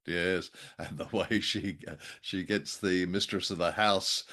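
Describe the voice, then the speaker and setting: Older guy podcast co-host, low voice, droll and halting, polite, chatting about old dramas. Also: fairly even intonation, bright, forceful tone. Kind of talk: casual film and radio drama discussion.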